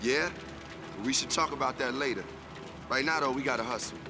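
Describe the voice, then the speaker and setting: An actor voicing a cocky, joking demolitions expert with a colloquial, swaggering way of talking in a video game. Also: male voice, mid-range voice, a little fast, music in the background, natural voice. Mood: boastful. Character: cocky, folksy male demolitions expert in the resistance. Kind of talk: video game cutscene acting.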